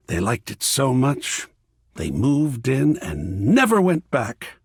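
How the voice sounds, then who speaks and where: Effete art curator, video game character, wildly varied pitch, slow pace, husky edge, flowery and earnest. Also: male voice, no echo, studio quality, exaggerated voice. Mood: charmed, enthusiastic. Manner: genteel, measured, flowery, dramatically expressive. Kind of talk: theatrical video game dialogue.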